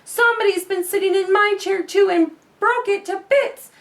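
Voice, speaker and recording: A woman voicing an excitable, imaginative little male bear cub in a storybook, squealing and exclaiming, distant from the mic. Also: very high-pitched, moderate pace, pitched above the performer's own voice. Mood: alarmed, excited. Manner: squealing, wide-eyed exclaiming. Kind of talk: children's storybook read-aloud.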